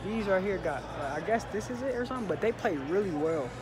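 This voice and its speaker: A man's voice: high-pitched, animated, fast. An American man in his twenties chatting casually and in slang as he vlogs into a phone at arm's length. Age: 20s. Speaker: vlogger. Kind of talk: casual vlog chat, slangy. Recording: noisy outdoor, car and store ambience.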